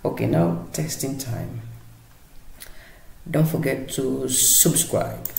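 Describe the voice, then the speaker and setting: Male tutorial host, very slow and hesitant, creaky voice, unscripted delivery, roomy sound. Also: medium pitch, darkish tone. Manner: halting, hesitant, thinking aloud.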